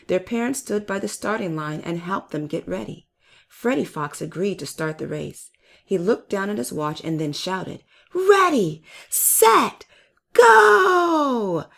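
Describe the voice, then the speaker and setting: A woman hosting and narrating a children's read-aloud story with expressive, emphatic delivery. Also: lowish voice, creaky. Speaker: storyteller and channel host.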